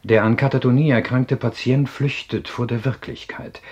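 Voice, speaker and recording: A man playing a self-assured psychiatrist in a radio drama, explaining in a measured, courteous, husky lecture. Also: medium pitch, steady tone, a little mellow, lightly acted. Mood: assured, explanatory. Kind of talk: German radio drama acting.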